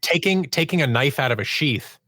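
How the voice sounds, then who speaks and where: A man's voice: a little high, animated, a little fast, bright, forceful tone, no echo, clean studio sound. A man giving a conversational interview as a podcast guest, telling stories about his creative work and acting out bits of quoted dialogue. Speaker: podcast guest, audio drama producer and sound designer.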